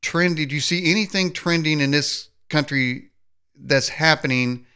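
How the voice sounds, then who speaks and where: A male podcast host with a bright, forceful tone. He works his way through long, halting questions, restarting and filling the gaps with "um" and "uh". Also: fairly high pitch, clear, resonant tone. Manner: rambling, halting questions with fillers. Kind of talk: interview questions.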